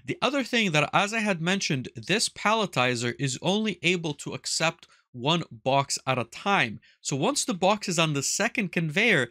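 The crisp, forward, higher-pitched male voice you'd hear walking you through an online engineering course, recorded close and dry. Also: expressive, moderate pace, few pauses, sharp tone, no echo. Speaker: instructor. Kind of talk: tutorial narration with screen demonstration. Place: small indoor workshop room with wall of electronics.

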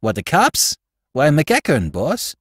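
A man voicing an eager, dim small-time crook in an audiobook, with a New York accent and slangy, ungrammatical, excitable talk. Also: fairly high pitch, slow pace, breathy edge, no echo, clean studio sound, voice pushed up, full character voice.

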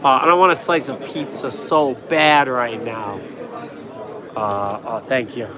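A young man hosting a casual livestream from a trade show floor, answering chat in a quick, offhand, joking way, his voice worn from a cold. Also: medium pitch, steady tone. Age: young adult. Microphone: phone held at arm's length. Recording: noisy crowded convention hall. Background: crowd chatter.

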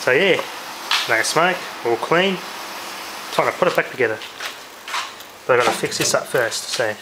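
Aussie guy muttering through a diy fix, medium pitch, noisy camera mic with clicks. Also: lively intonation, normal speed. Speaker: DIY vlogger. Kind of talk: casual hands-on repair commentary. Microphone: handheld camera's built-in mic.

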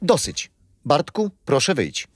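A man's voice: on the high side, very animated, slow pace, slight background noise. An actor playing a kindly, patient old grandfather who tells history to his grandchildren in a children's radio play. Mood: stern. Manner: gentle storyteller, explaining patiently.

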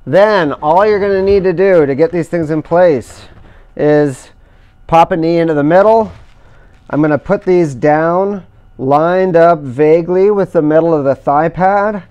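Middle-aged guy explaining how to fit gear, careful and measured, clean voice a bit on the high side. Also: clear, resonant tone, dull audio. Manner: careful, measured explaining while working with his hands. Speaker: instructor demonstrating kayak outfitting. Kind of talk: step-by-step how-to demonstration.

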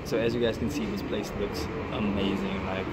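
A young man chatting excitedly to his phone camera in a cafe, with lots of fillers like "like" and "guys". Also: on the low side, moderate pace, very noisy. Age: young adult. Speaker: vlogger reviewing a cafe. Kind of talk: casual vlog. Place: indoor cafe with classic cars. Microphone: phone camera at arm's length, no lapel mic. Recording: phone audio with loud background music. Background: loud music playing in the cafe.

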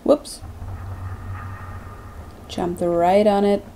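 Woman, let's-play commentator, warm low-key tone, light hiss under the voice, chatty and self-mocking. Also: level tone, very slow. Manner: chatty, self-deprecating, thinking aloud. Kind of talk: casual gameplay commentary.

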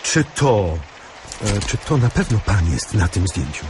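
An actor in a radio play playing a polite, halting, apologetic but menacing killer. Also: male voice, lowish voice, sing-song, over music. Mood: hesitant, insistent. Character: polite, hesitant, menacing male killer confronting his victim. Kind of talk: radio drama acting. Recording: noisy recording with rain. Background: rain.

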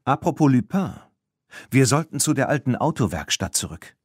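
A man's voice: medium pitch, sing-song, moderate pace, husky, no room sound, pitched above the performer's own voice. A man voicing a practical, decisive boy with a dry, laconic manner in a children's audiobook.

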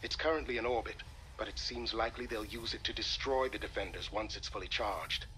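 An actor playing a grave, analytical middle-aged military science advisor in a video game, speaking in a measured, formal way. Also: male voice, on the low side, pushed and bright, slight background noise, low-quality recording. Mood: focused briefing. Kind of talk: video game dramatic acting.

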